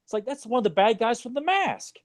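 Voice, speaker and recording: A man's voice: very high-pitched, very animated, brisk. A man co-hosting a podcast, talking casually about movies in a rambling way full of asides. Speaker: podcast co-host.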